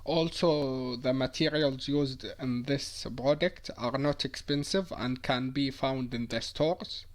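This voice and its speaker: Man presenting a project, medium pitch. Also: slow pace, few pauses.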